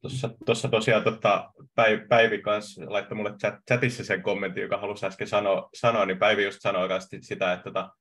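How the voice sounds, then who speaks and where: A man on a headset hosting a video call meetup, speaking in a relaxed, hesitant way with many fillers. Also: lowish voice, few pauses. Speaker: meetup facilitator. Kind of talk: casual discussion.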